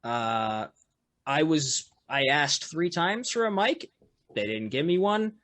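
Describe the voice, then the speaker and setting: A man, a musician guest on a podcast, with a loud voice, telling a story in casual chat full of fillers and restarts. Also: fairly high pitch, animated, slow, no room sound. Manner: rambling, lots of fillers and restarts, laughs often.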